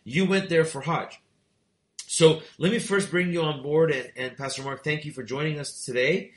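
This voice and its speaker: A man's voice: fairly high pitch, normal speed, echoey room. A man hosting a talk show, interviewing his guest and recapping the story for listeners with plenty of fillers and restarts. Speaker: host.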